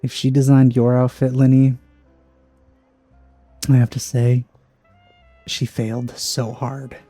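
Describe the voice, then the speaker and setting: A casual gaming commentator with a dark, husky voice. The delivery is flat and offhand, lightly amused, with faint music behind it. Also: mid-range voice, slow. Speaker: let's play commentator.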